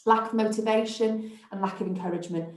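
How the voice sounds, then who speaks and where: A woman's voice: on the high side, fairly even intonation, fast talker. A woman presenting a training webinar, talking in a reassuring, encouraging way. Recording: echoey room.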